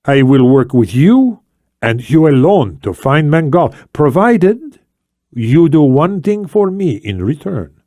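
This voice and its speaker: A man voicing an older, shrewd and commanding Spanish ex-intelligence officer in formal, deliberate audiobook dialogue. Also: fairly high pitch, very animated, warm tone, no room sound, clean studio sound, voice pushed up. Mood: insistent, intense.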